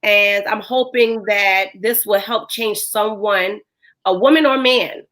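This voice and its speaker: A woman with a hoarse voice, hosting a podcast in a casual chat full of fillers. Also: mid-range voice, slow, fairly bright tone. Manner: chatty, filler-heavy. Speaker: podcast host.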